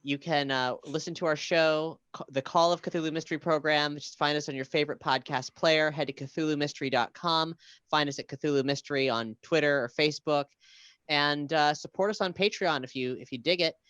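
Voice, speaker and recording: Podcast host, mid-pitched, smooth clear voice, informal and halting sign-off patter. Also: steady tone, fairly bright tone, dry recording. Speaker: host of an audio drama podcast. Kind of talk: casual livestream sign-off and announcements.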